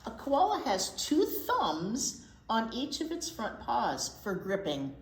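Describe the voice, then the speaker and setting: A woman's voice: on the high side, wildly swooping pitch, slow pace. A woman reading a picture book aloud to young children, with simple explanations and chatty asides. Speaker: children's storytime host, librarian. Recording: echoey room with faint background noise.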